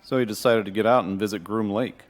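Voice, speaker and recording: An actor playing an affable, obliging military general in an audio drama, genial but formal. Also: male voice, fairly low pitch, fairly even intonation, quick pace, faint music behind, natural voice.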